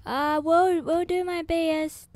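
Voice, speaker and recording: A woman's voice: very high-pitched, steady tone, slow, a little mellow, no room sound. A woman streaming a game live, chatting casually with her viewers in a rambling, giggly way with many fillers.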